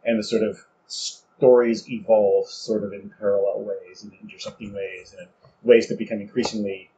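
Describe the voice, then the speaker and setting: A man's voice: fairly low pitch, flat delivery, normal speed, dark tone. A man hosting a podcast, giving conversational commentary. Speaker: podcast host. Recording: dull audio with faint background noise.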